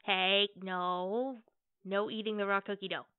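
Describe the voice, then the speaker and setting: A woman playing an affectionate, playful girlfriend in a casual, conversational ASMR roleplay, with hesitant pauses. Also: medium pitch, steady tone, clean tone, no echo, close to an everyday voice. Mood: playfully scolding. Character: affectionate, playful girlfriend baking cookies at home. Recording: tinny, phone-like band-limited audio.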